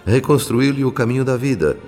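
A male actor narrating a radio drama with a Brazilian accent in a measured, solemn, literary manner. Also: mid-range voice, animated, long reverb tail, natural voice. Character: solemn male narrator telling a moral family story. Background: soft music.

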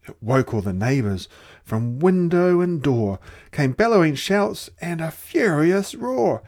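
A storyteller reading a rhyming children's picture book aloud in an expressive, theatrical way. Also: medium pitch, unhurried. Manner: theatrical, dramatic delivery.

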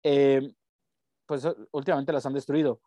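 A man's voice: medium pitch, fairly even intonation, moderate pace, dark tone, no room sound, studio quality. A young man with a Mexican accent, co-hosting a podcast in slangy, rambling casual chat. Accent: Mexican Spanish. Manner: slangy, rambling, breaks into bits of song. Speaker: podcast co-host.

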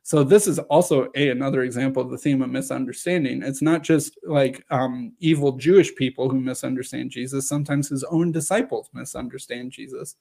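A slightly hoarse man giving a measured lecture, reading scripture passages aloud and explaining them. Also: mid-range voice, mellow. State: slightly hoarse from long talking. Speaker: video presenter on scripture. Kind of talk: lecture-style reading and commentary.

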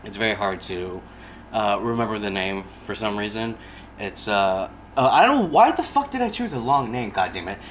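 A man's voice: fairly low pitch, big pitch swings, normal speed, fairly bright tone, dull audio, a little hiss or hum. A young man hosting his own podcast alone, rambling casually with lots of fillers, restarts and swearing, recorded on an earbud mic. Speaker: podcast host. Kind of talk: casual solo podcast chat.

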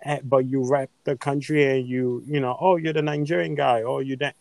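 A man's voice: mid-range voice, fairly even intonation, normal speed, creaky, a little mellow, dry recording. A man co-hosting a podcast, making his points earnestly and persuasively in casual conversation. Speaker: podcast co-host.

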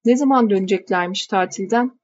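A woman's voice: mid-range voice, fairly quick, muffled recording, close to an everyday voice. A woman reading as a timid, curious young woman. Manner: polite, questioning.

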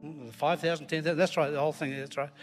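Male preacher, Scottish accent, slightly high voice, jokey self-deprecating storyteller, live in a big echoing hall with faint music. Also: steady tone, rapid-fire. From Scotland. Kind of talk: informal sermon to a congregation. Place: large hall, live before a congregation. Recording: long hall reverb, live church recording.

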